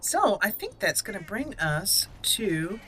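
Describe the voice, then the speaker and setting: A woman's voice: medium pitch, big pitch swings, slow pace, fairly bright tone, poor audio. A woman hosting a casual game walkthrough, thinking aloud in short fragments as she plays. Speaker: gaming channel host.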